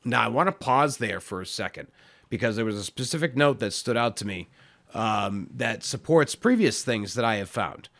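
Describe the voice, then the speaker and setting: A man hosting his own podcast, talking in a loose, rambling way with lots of fillers, his voice hoarse. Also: mid-range voice, expressive, moderate pace, a bit bright. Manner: rambling, filler-heavy, self-deprecating. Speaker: podcast host. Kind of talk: casual solo podcast talk.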